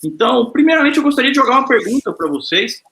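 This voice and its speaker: A man hosting an informal web panel discussion over a video call, relaxed and lightly joking, with frequent hesitant fillers. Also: extremely high pitch, fast. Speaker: host.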